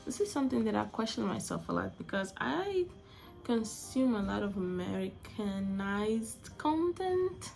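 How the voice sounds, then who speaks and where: Young female vlogger from Angola, Portuguese first language, gravelly, restarts phrases a lot. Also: mid-range voice, big pitch swings, measured pace, darkish tone, faint music behind. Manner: chatty, searching for words, restarts phrases. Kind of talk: casual opinion vlog.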